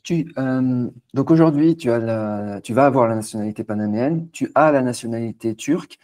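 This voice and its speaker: A man's voice: medium pitch, normal speed, mellow. A man interviewing a guest, asking casual questions with stammers and repeated words.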